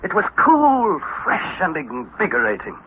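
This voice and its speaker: An actor playing an earnest, wondering young nephew on an expedition, in crisp 1960s radio drama style. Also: male voice, high voice, wildly swooping pitch, a little slow, full character voice. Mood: narrating. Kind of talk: 1960s radio drama acting with scripted narration. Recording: tinny, hissy vintage radio broadcast.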